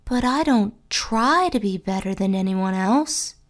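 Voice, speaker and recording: A young schoolgirl speaks in a small, raised voice, soft and tearful as she pleads. A man narrating a storybook acts her out, slow and careful. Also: sits high, expressive, voice pushed up. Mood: quiet. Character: sad sporty young schoolgirl.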